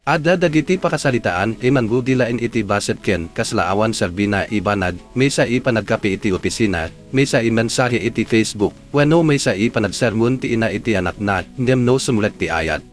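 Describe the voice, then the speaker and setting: A man's voice: lowish voice, lively intonation, fairly quick, hardly any pauses. A man with an Ilocano accent giving scripted dramatic narration as host of a radio drama. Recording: music bed under the voice.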